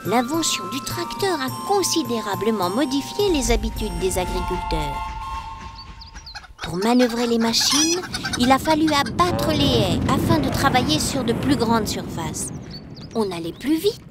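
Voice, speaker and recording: A woman playing a kindly, lively guide who narrates history for children in a clear storytelling manner. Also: fairly high pitch, unhurried, over loud music.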